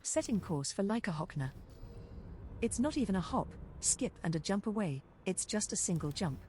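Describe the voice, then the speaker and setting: A woman's voice: mid-range voice, sing-song, moderate pace, darkish tone, natural voice. A woman voicing a sly, playful ship computer copilot, making scripted announcements in a video game.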